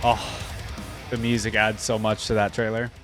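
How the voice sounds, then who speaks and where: A man's voice: on the low side, completely flat delivery, moderate pace. A man giving casual, enthusiastic, slangy reaction commentary as host of a reaction video, with trailer music behind him. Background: game trailer music.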